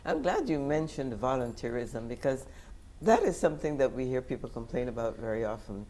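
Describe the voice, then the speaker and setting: A man's voice: mid-range voice, very animated, fairly quick, warm tone. A man hosting an interview, asking questions and murmuring agreement as guests speak. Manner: encouraging, frequent murmured agreement. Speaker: interview host.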